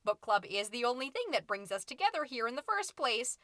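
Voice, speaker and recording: Woman playing a bright, chirpy suburban mom podcaster. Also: fairly high pitch, very animated, a little fast, dry recording, clean studio sound. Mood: confiding, fussy. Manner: perky, folksy, theatrical, fussy. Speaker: podcast host. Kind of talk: scripted comedic monologue as a podcast.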